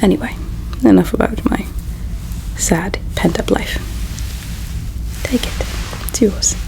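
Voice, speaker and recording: Woman playing a sad gentle alien servant girl, whispery asmr, bit of creak in the voice, secretive and halting. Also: medium pitch, low-quality recording. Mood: wistful, confiding. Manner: hushed, halting, confiding. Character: gentle, melancholy female alien palace servant, secretive and fond. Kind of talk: ASMR roleplay, soft whispering.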